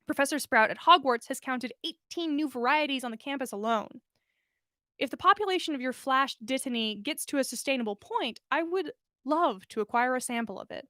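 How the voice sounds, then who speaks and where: A woman narrating a story aloud in an expressive storytelling style, as a podcast host reading fiction. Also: high-pitched, a little fast, no echo, clean studio sound.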